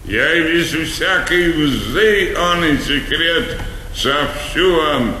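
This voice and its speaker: An actor playing a pompous old servile majordomo in a florid, theatrical stage comedy manner. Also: male voice, a little high, animated, extremely slow, sharp tone, exaggerated voice. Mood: unctuous, coy. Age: older. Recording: echoey theatre stage recording, faint noise.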